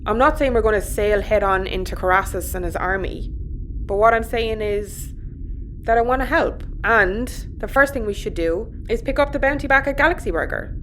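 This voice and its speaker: Audio drama role: reluctant spacefaring heroine played by a woman. Mid-pitched, practical, wry and casual, with faint ambience behind. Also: normal speed, fairly bright tone, slight background noise, lightly acted. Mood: wry, encouraging. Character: practical, supportive reluctant young female space adventurer.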